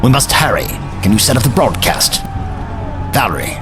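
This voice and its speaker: A man acting in a science fiction audio drama as a learned, authoritative time-traveling alien who speaks in a measured, formal, lecturing way. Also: mid-range voice, lively intonation, over music, close to an everyday voice. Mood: urgent. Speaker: lead actor in an audio drama.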